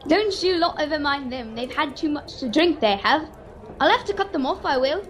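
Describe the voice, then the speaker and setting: A woman's voice: high voice, animated, normal speed. A young girl acting in a fantasy radio serial as a theatrical storyteller and kindly character. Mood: protective scolding. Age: child, 10 to 13. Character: grand fantasy storyteller who also plays kindly tavern keeper. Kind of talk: scripted narration, radio serial.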